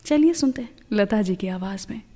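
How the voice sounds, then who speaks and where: A woman's voice: mid-range voice, animated, a little fast, long reverb tail. A woman hosting a radio show, presenting in a friendly, chatty scripted style with English words mixed in.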